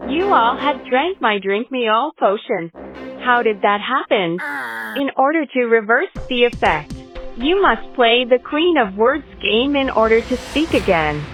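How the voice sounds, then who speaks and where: A woman plays a commanding cartoon queen with a fairly high voice. She is stern and accusing as she sets out rules over clear music. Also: expressive, unhurried, fairly bright tone. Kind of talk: animated game cutscene.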